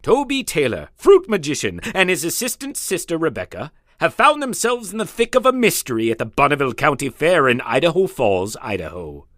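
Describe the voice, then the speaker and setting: An actor playing a grandiose narrator of a mystery radio serial, announcing with dramatic, drawn-out emphasis. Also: male voice, a little high, huge pitch swings, fairly bright tone, no room sound, studio quality. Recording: music behind the voice.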